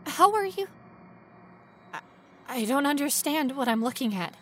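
A woman playing a frightened, stubborn young female ghost researcher in a first-person horror audio drama, with an intense, breathy delivery. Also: high voice, very animated, unhurried, a bit bright, slight background noise, heavily acted. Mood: shocked, pleading. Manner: intense, breathy, dropping to whispers and rising to cries.

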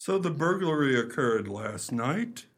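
A man's voice: medium pitch, very animated, measured pace, breathy edge, soft background music. A man acting in a radio play as a plodding, deferential police detective, polite and methodical, with a hesitant delivery. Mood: businesslike.

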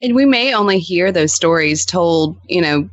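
A woman chatting casually as a podcast guest, sprinkling her anecdotes with filler phrases like an excited storyteller among friends. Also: fairly low pitch, normal speed, no echo. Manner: animated anecdotes peppered with "like" and "you know". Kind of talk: casual interview chat.

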